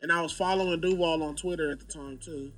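A man's voice: on the high side, steady tone, quick pace, a bit bright, faint music behind. A man, a comedian telling stories in a laid-back, slangy, joking way. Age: late 20s. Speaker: stand-up comedian guest. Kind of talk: casual interview chat.

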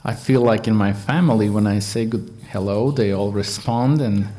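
A man's voice: fairly low pitch, warm tone. Earnest Latvian-accented man preaching. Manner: halting English with many fillers, earnest. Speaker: guest preacher. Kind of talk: sermon.

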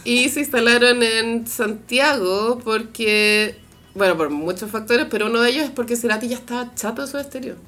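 A woman's voice: on the high side, moderate pace, bright, forceful tone. A woman with a Chilean accent co-hosting a casual gossip chat, blunt and slangy, dropping in English words. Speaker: podcast co-host.

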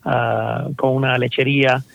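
A man's voice: mid-range voice, level tone, a little slow, gravelly, dry recording. Puerto Rican man on a dull line. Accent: Puerto Rican Spanish. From Puerto Rico. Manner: thoughtful, many fillers and repeated words. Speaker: startup founder guest. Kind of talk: interview answers.

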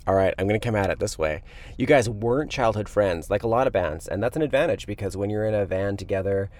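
A man's voice: on the low side, fast talker, a little mellow. A man hosting an interview show, putting questions in a casual, conversational way. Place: inside a tour van.

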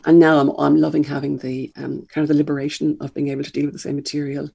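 An older speaker with an Irish accent talks reflectively in an interview, pausing on ums and restarting sentences. The voice is gravelly and husky with a dark, mellow tone. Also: mid-range voice, little pitch movement, lo-fi sound. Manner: thoughtful, hesitant, many ums and restarts. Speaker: children's author and publisher.

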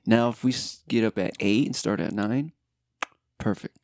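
A man with a low, gravelly voice hosts a casual podcast chat. He talks in a relaxed, loose way and drops plenty of "dude" and the odd curse. The sound is close and dry, with no room behind him. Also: moderate pace, dry recording. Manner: laid-back, swears, says "dude" a lot. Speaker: podcast host.

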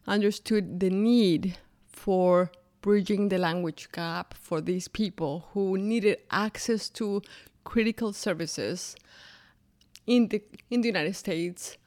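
A woman from Colombia, a native Spanish speaker, talking as a guest in an interview in an unhurried, thoughtful way with soft fillers. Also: medium pitch. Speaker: podcast guest, business founder.

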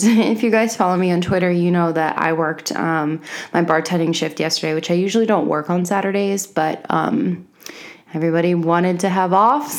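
A young woman hosting a podcast in casual chat from her home office, sounding a little sick and congested, and talking with lots of ums and likes. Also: lowish voice. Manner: chatty, many fillers like um and like. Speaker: podcast host.

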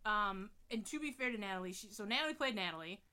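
A woman, a high school theatre director, chatting casually on a podcast in a frank, rambling way with authority. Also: expressive, quick pace, over a noisy crowd.

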